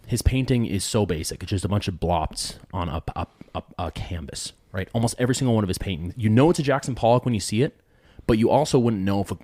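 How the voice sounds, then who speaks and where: A podcast co-host debating with a low, steady voice. He restarts his sentences and presses his argument, and the sound is dry and close to the mic. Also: no room sound. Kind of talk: casual debate.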